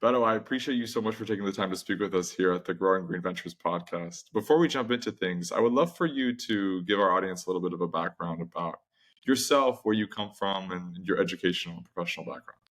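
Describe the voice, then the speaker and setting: A man hosting a podcast interview, asking measured questions and repeating words as he forms them. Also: deep voice, a bit bright. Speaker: podcast host.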